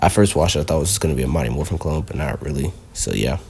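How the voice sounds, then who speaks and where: A man's voice: extremely low pitch, expressive, very fast, vocal fry, a little mellow, breathy edge. A man hosting a casual countdown video, rambling and leaning heavily on fillers. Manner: rambling, heavy on fillers like "you know". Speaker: video host.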